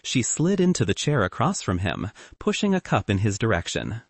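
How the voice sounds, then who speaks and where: Casting: male audiobook narrator, husky edge, animated delivery of scripted fiction. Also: on the low side, normal speed, no room sound.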